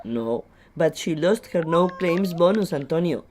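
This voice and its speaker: A woman's voice: fairly low pitch, expressive, a little slow, darkish tone, slight background noise. A woman playing an exasperated, lovelorn village woman in a comic radio play. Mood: matter-of-fact.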